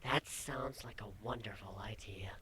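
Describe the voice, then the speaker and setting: A man voicing a tender young female changeling pony, newly married, in a breathy, hushed, hesitant and emotional murmur for a story reading. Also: on the low side, measured pace. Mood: hushed awe.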